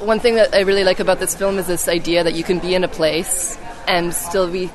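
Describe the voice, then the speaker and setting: A young woman from Canada speaks casually in a slightly low voice, full of fillers and self-corrections. She is recorded outdoors in a city, with clear crowd chatter behind her. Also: steady tone, fairly quick, rough recording. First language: Czech heritage, English first. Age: young adult. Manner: chatty, frequent "like" and restarts, laughs easily. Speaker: film's lead and graduate student guest. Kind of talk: casual interview chat.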